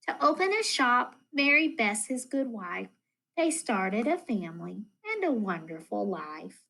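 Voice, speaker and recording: Woman, children's read-aloud, teacherly and expressive, unhurried slow pace, some vocal fry. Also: fairly high pitch, poor audio. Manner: expressive, deliberate storytelling delivery addressed to young children. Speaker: elementary school math coach reading to pupils.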